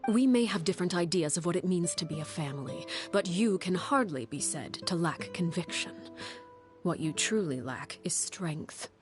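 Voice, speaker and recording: An actress as a commanding matriarch of a secret family in a video game. She is low-pitched and composed, with measured, formal delivery and stern authority, over clear background music. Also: animated, lightly acted. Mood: firm, grave. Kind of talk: scripted video game dialogue.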